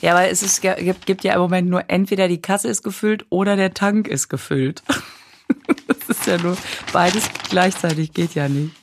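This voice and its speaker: Teasing woman with a lowish voice. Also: steady tone, normal speed, a little hiss or hum. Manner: teasing, reads horoscope text aloud, laughs at her own slips. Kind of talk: casual chat.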